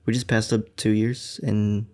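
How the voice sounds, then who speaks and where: Relaxed low-voiced young man. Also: unhurried. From Colorado. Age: 20s. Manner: relaxed, rambling, laughs often. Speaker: podcast guest, church graphic designer. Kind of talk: casual podcast chat.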